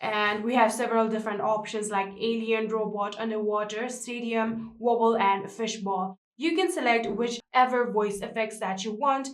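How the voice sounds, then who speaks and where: High-voiced woman presenting a tutorial, roomy sound. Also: steady tone, moderate pace, hardly any pauses. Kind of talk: scripted how-to screen tutorial narration.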